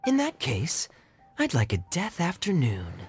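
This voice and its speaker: A suave young man from a video game. He talks in a coy, teasing way, with lively, swooping intonation. Faint music plays behind him. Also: a little high, heavily acted. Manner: smooth-talking, coy, unhurried. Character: suave, teasing young male tavern regular. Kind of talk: video game character acting.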